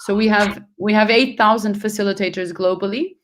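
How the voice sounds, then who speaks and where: A woman's voice: mid-range voice, fairly even intonation, brisk. A woman from Portugal, not a native English speaker, answering as a guest on a panel in an earnest way, often restarting and correcting herself mid-sentence.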